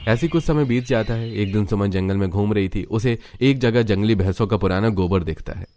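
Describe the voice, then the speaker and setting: A man's voice: fairly low pitch, a little fast, dark tone. A man narrating a scripted cartoon story as its storyteller.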